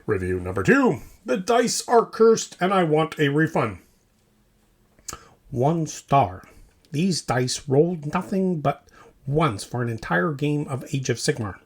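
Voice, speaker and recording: A man with a slightly high voice hosts a comedy podcast. His delivery is scripted and theatrical, swinging widely in pitch through short, punchy, tongue-in-cheek phrases. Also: husky. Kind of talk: comedic scripted narration, mock news delivery.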